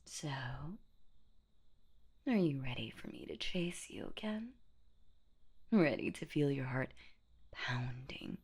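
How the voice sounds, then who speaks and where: Woman voicing a slow, breathy, creaky monster seductress of horror. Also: full character voice. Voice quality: breathy, husky whisper with creaky low notes. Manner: slow, teasing, menacing, mock-sweet. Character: sadistic, playful female boogeywoman monster hunting humans. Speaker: audio roleplay voice actor. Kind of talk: whispered horror audio roleplay monologue.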